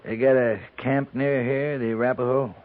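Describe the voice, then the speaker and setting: An American actor playing a wounded, steady cavalry captain, terse, polite and measured, in a 1950s radio western. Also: male voice, mid-range voice, close to an everyday voice. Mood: calm, probing. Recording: tinny, narrow-band 1950s radio recording.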